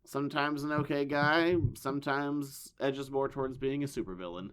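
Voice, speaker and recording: A man hosting a casual comics podcast, hoarse from losing his voice, with dry wisecracks. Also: medium pitch, normal speed, a bit bright. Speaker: podcast host. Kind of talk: casual chat.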